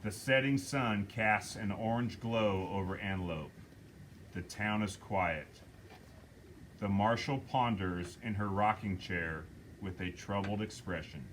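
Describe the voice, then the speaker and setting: A man's voice: lowish voice, unhurried, fairly bright tone, a little hiss or hum. A man reading stage directions aloud at a table reading and directing the scenes at a film studio.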